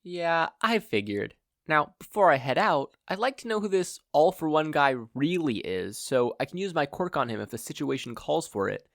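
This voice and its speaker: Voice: medium pitch, sing-song, normal speed, gravelly, fairly bright tone, no room sound, studio quality, pitched above the performer's own voice. A performer voicing a bold, cocky, protective woman superhero with a confident, swaggering manner.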